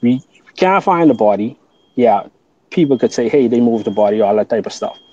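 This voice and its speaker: A man's voice: medium pitch, expressive, normal speed, warm tone, muffled recording. A man from the Bahamas, a guest on a casual podcast, who explains his beliefs earnestly and at length, often restarting his sentences.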